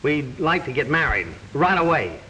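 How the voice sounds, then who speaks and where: A man's voice: fairly high pitch, big pitch swings, normal speed, sharp tone, slight background noise, rough recording. An actor playing an eager young man about to elope, in 1940s radio acting.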